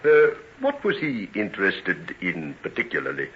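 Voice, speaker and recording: Persistent old-timey police inspector, forceful bright voice, swoopy delivery, radio play. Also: male voice, low-pitched, wildly swooping pitch, normal speed, heavily acted. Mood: probing, polite. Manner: questioning, polite but persistent. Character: probing, methodical male police inspector of Victorian London. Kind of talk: old-time radio drama acting. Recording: dull vintage radio recording.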